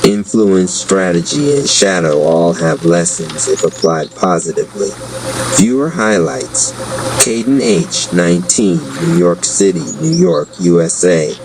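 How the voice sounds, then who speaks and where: A man narrating a scripted promotional piece in an even, announcer-style read. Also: low voice, unhurried. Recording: clear steady background noise.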